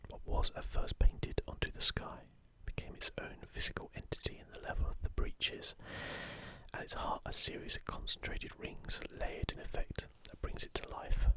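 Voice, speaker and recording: A man speaks entirely in a breathy whisper with a bright, crisp edge. He delivers an informal ASMR commentary, gentle and unhurried throughout. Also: low-quality recording. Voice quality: soft, breathy unvoiced whisper. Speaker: gaming ASMR video creator. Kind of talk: whispered ASMR, casual commentary with passages read aloud.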